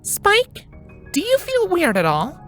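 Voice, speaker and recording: Storybook pony mare, acted by a woman: very high, lively, anxious yet earnestly reassuring. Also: slow pace, faint music behind, heavily acted. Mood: uneasy. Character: anxious, reassuring young female winged pony. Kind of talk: dramatised storybook reading.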